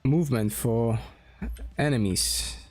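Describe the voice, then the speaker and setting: A man from Poland with a Polish accent, talking casually as he draws on a stream, slowly thinking aloud with pauses and restarts. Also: medium pitch, a little mellow. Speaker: streamer and game developer. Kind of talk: casual drawing stream talk.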